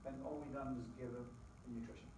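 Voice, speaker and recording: A middle-aged Scottish man giving a lively motivational talk to a live audience, quizzing the crowd with folksy asides. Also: medium pitch, fairly quick, noisy background. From Scotland. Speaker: business opportunity presenter. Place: presentation room with an audience. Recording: very echoey room, distant mic.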